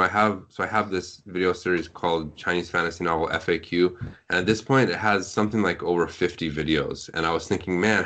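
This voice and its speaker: A man's voice: deep voice, fairly bright tone. A man talking casually as a podcast guest, rambling off on tangents in a self-deprecating way. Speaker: translator and author, podcast guest.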